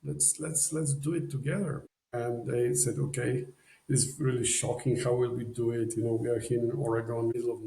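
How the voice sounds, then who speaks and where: Polish-accented man, gravelly and roomy. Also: medium pitch, level tone, normal speed, warm tone. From Poland. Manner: rambling, many "you know" fillers, non-native phrasing. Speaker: podcast guest. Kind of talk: casual storytelling.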